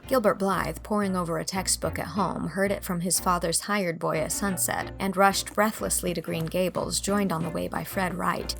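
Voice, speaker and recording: A woman's voice: lowish voice, fairly even intonation, normal speed, gravelly, few pauses, fairly bright tone, faint music behind. A woman reading scripted narration for a classic storybook.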